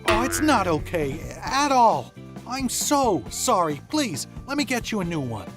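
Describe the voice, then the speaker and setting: A woman's voice: fairly low pitch, very animated, measured pace. A woman voicing an earnest, generous young male student in a manga dub, with a flustered, hesitant way of talking, in dialogue and first-person narration. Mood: flustered, apologetic. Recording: music behind the voice. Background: background music.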